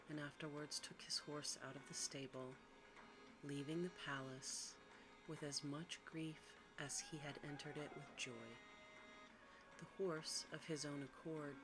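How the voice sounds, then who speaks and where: Hushed ASMR storyteller over music. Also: mid-range voice, poor audio. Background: background music.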